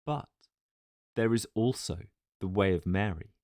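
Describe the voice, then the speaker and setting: A man reading a philosophical text as scripted narration, in a formal, measured and deliberate way. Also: sits low, darkish tone, no echo, studio quality. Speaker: audiobook narrator.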